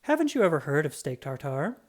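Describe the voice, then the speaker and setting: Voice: mid-range voice, big pitch swings, brisk. A narrator voicing a male dog who poses as a polite, sophisticated person, defensive and doggy. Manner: yipping, barking, panting excuses.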